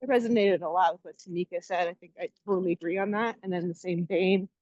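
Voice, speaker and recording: A woman in a workshop thinks aloud about her doubts in a reflective manner. Her voice is slightly low, and the recording sounds dry and dull with no room echo. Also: fairly even intonation, quick pace, darkish tone. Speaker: workshop participant. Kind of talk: casual sharing.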